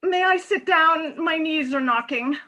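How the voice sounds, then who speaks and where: A woman's voice: very high-pitched, measured pace, bright, forceful tone, full character voice. A woman playing a frank, middle-aged former nurse, pointed and teasing yet earnest, in a comic stage play reading. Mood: nervous, confessing.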